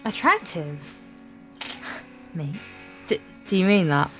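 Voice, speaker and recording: Visual novel schoolgirl, played by a woman: earnest, deluded, smitten, lowish register, faint music bed. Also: very animated, takes it slowly, a bit bright, exaggerated voice. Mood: smitten, hopeful.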